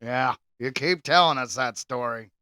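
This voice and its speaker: A man's voice: medium pitch, bright, forceful tone, clean tone, dry recording, studio quality. A man co-hosting a podcast in a casual, teasing back-and-forth. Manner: teasing, quick banter. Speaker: podcast co-host. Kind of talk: casual chat.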